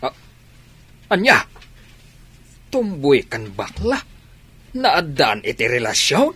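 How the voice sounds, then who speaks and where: A man's voice: medium pitch, huge pitch swings, slow, sharp tone, husky, full character voice. A man playing a curious middle-aged village father and small businessman in an Ilocano radio comedy-drama.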